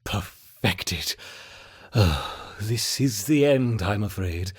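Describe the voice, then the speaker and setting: A man playing a frantic, scheming mad scientist obsessed with his ants in a video game, raspy and theatrical, muttering to himself. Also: fairly low pitch, lively intonation, very slow, heavily acted. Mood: wistful, sinister. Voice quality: raspy, husky. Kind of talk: video game character acting.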